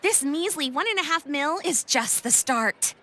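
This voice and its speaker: A woman's voice: high voice, expressive, moderate pace, bright, forceful tone. A woman playing a confident, enthusiastic young inventor in a video game, explaining things in a bubbly, persuasive, theatrical way. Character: Lapine Pauline, confident, enthusiastic young female tech inventor.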